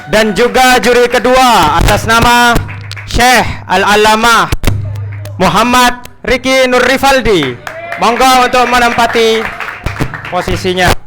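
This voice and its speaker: A man co-hosting a school quiz competition, brisk and cheerful as he eggs contestants on. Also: extremely high pitch, over music, poor audio. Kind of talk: event announcing.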